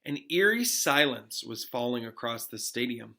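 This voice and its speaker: Bright-voiced man reading a story aloud dramatically. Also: mid-range voice, vocal fry. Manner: expressive, suspenseful, pauses to question listeners. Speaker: teacher reading aloud to students.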